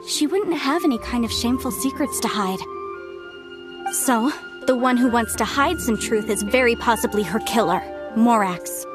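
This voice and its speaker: A woman's voice: fairly high pitch, huge pitch swings, moderate pace. An actress playing an earnest young woman researcher explaining ruins in game dialogue. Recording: game audio with music underneath.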